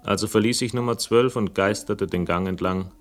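An actor playing a world-weary, sardonic hero of a radio crime drama, speaking in a dry, laconic way. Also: male voice, on the low side, moderate pace, soft background music, natural voice. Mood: brooding, sardonic. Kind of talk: German radio crime drama, first-person narration and dialogue. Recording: faint noise, older radio production.

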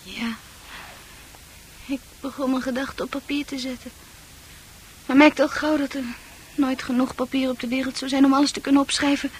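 Casting: dreamy, troubled young female confidante for Dutch radio drama, high-pitched, poetic and earnest. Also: level tone, normal speed, pauses often, breathy edge, low-quality recording. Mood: pensive, intense. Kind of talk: Dutch radio play acting.

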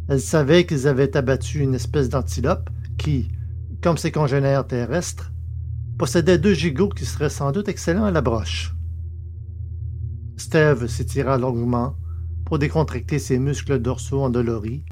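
A man's voice: mid-range voice, moderate pace, a little mellow, a little hiss or hum. A man narrating an audio fiction story, reading scripted prose as a storyteller.

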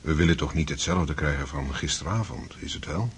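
Radio drama doctor: low voice, calm, paternal, soothing, a touch condescending. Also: male voice, slight background noise. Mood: soothing, patronising. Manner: measured, soothing, patronising. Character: calm, paternal male doctor, smoothly persuasive. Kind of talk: Dutch radio drama acting.

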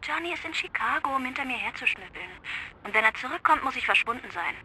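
An actress playing a scheming woman who urges and plots over the telephone, bitter and hurried. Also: high-pitched, lively intonation. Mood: urgent. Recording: noisy phone line.

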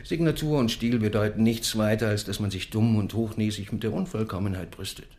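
An actor playing a proud, enigmatic Ottoman miniature painter who tells parables in a measured, deliberate way in a radio drama. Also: on the low side, a little mellow, close to an everyday voice. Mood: measured storytelling. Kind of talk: literary radio drama storytelling. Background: music.

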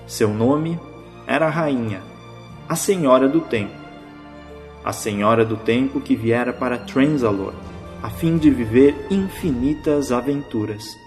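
A man's voice: medium pitch, unhurried, a little mellow, soft background music, natural voice. A man acting as the narrator of a whimsical audio drama, telling it as scripted storytelling. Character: storybook narrator setting a whimsical Christmas tale.

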